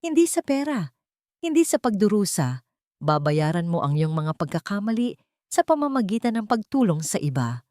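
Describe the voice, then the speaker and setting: A Filipino-accented woman playing a wronged mother who is firm, forgiving and gentle with her children, speaking in a measured, solemn way. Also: mid-range voice, big pitch swings, no echo, studio quality, pitched above the performer's own voice, lightly acted. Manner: measured, solemn, soft-spoken.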